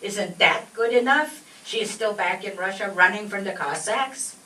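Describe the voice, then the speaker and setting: A woman's voice: medium pitch, moderate pace, pushed and bright, recorded from a distance, close to an everyday voice. Woman playing an indignant old immigrant mother, echoey stage. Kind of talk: comic old-time radio skit acting. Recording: echoey stage recording from afar, faint noise.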